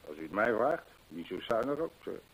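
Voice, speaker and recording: Husky, talkative horse trainer. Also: male voice, on the low side, sing-song, fairly quick, a bit bright, exaggerated voice, low-quality recording. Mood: worried, confiding. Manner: gossipy, emphatic storytelling. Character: talkative, anxious English horse trainer Mason. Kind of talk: Dutch radio drama acting.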